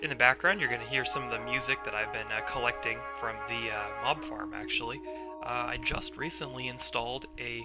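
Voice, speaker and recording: Hoarse male let's-play host, mid-pitched, easygoing explainer with lots of ums, game music clearly behind him. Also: brisk. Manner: relaxed, explains step by step with many fillers. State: hoarse, under the weather. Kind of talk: casual gameplay commentary.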